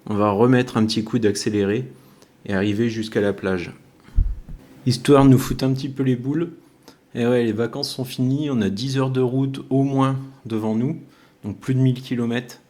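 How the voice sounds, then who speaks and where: A man's voice: mid-range voice, mellow. A man talking casually to his viewers as he vlogs, chatty and informal, with wry joking remarks. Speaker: YouTube vlogger. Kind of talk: casual walk-and-talk vlog commentary.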